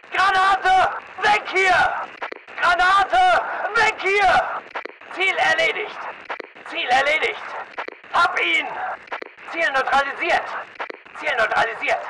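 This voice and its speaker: Male shooter-game mercenary soldier: very high-pitched, disciplined, aggressive, clipped tactical callouts; distant pickup in heavy noise. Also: full character voice. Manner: clipped, urgent commands and reports. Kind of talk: video game battle chatter, military barks.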